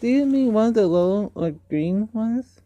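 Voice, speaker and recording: A man putting on a high-pitched pleading little girl. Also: expressive, normal speed, mellow, no room sound, highs cut off. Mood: curious. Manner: pleading, polite. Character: polite young girl pleading to go home.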